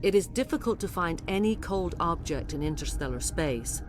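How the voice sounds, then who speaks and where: A woman playing a regal, remorseful alien mother in a video game, speaking in solemn, measured, formal language. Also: on the low side, expressive, over music, lightly acted. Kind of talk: dramatic video game acting.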